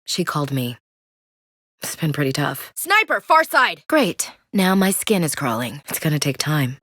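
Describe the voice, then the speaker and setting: A blunt, dry-witted young marine soldier in a video game. Her voice sits low and carries a soldier's plain directness. It is recorded in a dry booth with no room sound. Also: a bit bright, dry recording. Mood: shouting. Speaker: squad soldier.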